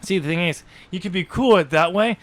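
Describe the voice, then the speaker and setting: A man's voice: high-pitched, fairly quick. A man co-hosting a podcast, casually discussing a book in an informal, chuckling way. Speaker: podcast host.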